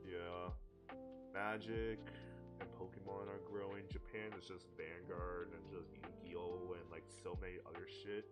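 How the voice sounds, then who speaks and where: A man's voice: low voice, little pitch movement, normal speed, over loud music. A man in a casual streaming chat, laid-back and chatty, laughing often. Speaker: streamer co-host.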